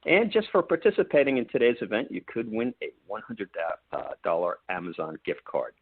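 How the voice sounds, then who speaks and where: A man's voice: fairly low pitch, huge pitch swings, fairly quick, husky, no room sound. A man moderating a live webinar, reading announcements and introducing speakers. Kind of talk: scripted event hosting. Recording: dry tinny phone-like line.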